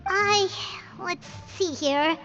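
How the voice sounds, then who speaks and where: A woman voicing a small, flustered, childlike fairy companion with a squeaky, chirpy voice in a video game. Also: drawn-out words, a bit bright, highs cut off, soft background music, heavily acted. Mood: flustered, hesitant. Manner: speaks of self in third person, flustered.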